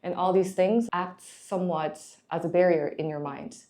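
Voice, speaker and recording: A woman's voice: fairly low pitch, steady tone, normal speed, mellow. A young woman from Korea, a management consultant, giving candid, encouraging career advice in a chatty way.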